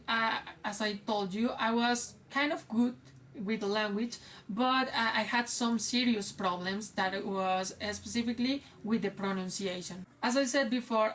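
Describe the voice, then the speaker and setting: A woman's voice: medium pitch, a bit bright, distant mic. A young woman with a Spanish accent, an English teacher chatting casually in a vlog with many restarts. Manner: conversational, many fillers and restarts.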